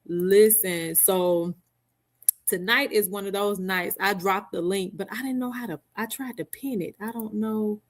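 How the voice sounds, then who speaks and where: A woman's voice: medium pitch, measured pace. A middle-aged woman hosting a casual live stream from home, chatting with viewers by name and laughing often. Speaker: livestream host. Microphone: headset mic.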